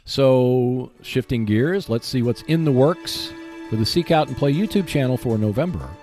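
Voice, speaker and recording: A man's voice: medium pitch, normal speed, dark tone, soft background music. A man hosting a solo gaming podcast, explaining and giving tips in a conversational way. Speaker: podcast host.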